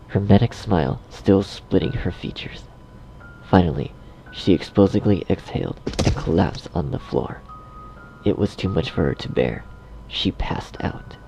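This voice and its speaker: A man's voice: sits low, gravelly, mellow, poor audio. A man narrating a scripted horror story dramatically, as its storyteller. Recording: faint music behind the voice.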